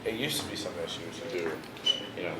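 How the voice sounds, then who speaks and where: Young man, low-set voice, relaxed slang-heavy guest, roomy echo with steady background noise. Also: racing. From Washington DC area. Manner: laid-back, heavy on "bro" and "you know" fillers. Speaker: visual artist, interview guest. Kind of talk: casual interview chat. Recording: echoey room with noticeable background noise.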